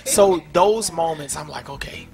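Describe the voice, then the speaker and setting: Animated young man guesting. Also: a little high, unhurried, creaky, over a noisy crowd. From Atlanta. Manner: animated storyteller, acts out his own exclamations. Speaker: guest musician. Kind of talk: talk show interview.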